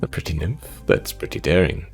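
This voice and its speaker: A man voicing a calm, teasing tavern keeper in a fantasy game, listening patiently and prompting in a measured, wry way. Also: extremely low pitch, gravelly, soft background music, pitched below the performer's own voice, heavily acted.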